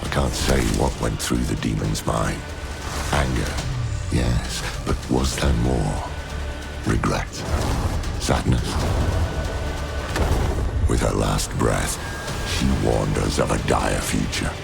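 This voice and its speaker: Very deep, gravelly old scholar. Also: male voice, steady tone, full character voice. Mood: somber. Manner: grave, ominous, measured. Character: weary old male scholar recounting dark past events. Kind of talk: dramatic scripted narration. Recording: loud music under the voice.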